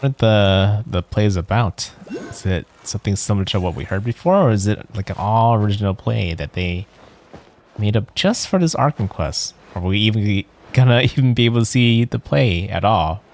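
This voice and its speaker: A man's voice: on the low side, lively intonation, moderate pace, gravelly, a little mellow. A man streaming a video game, musing aloud with questions in casual let's play commentary.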